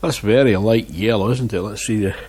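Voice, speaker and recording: A man's voice: on the low side, fairly quick, darkish tone, husky. A man reviewing beer in a casual vlog, chatting and muttering asides to himself on a lapel mic. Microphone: wireless lapel mic.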